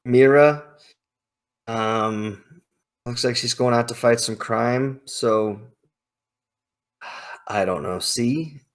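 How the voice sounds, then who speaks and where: Slow-paced man giving casual emphatic commentary. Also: mid-range voice. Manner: emphatic, hyperbolic, informal slang. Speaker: video host ranking game characters. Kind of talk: casual opinionated commentary, thinking aloud.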